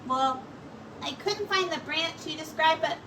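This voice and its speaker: Through a noisy, distant recording comes a woman playing an eager young male bird from a storybook. He is high-pitched and helpful, bursting into exclamations and tripping over his words when keen. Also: unhurried. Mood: eager, hesitant.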